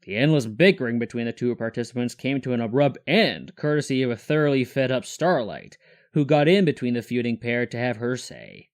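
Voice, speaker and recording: A man's voice: mid-range voice, very animated, fairly quick. A man reading scripted third-person story narration as the narrator of a fan audio drama.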